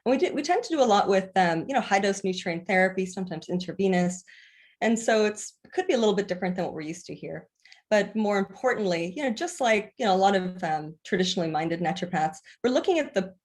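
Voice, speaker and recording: Fast-talking woman from the Bahamas. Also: medium pitch, lively intonation. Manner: earnest, frequent filler phrases. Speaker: naturopathic doctor guest. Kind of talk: casual interview talk.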